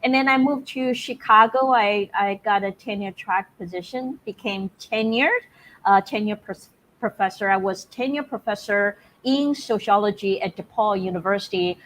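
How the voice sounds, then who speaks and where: A woman's voice: medium pitch, normal speed, a bit bright, a little hiss or hum, poor audio. A middle-aged woman, a guest in an online interview, speaking in a reflective, conversational way. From Taiwan.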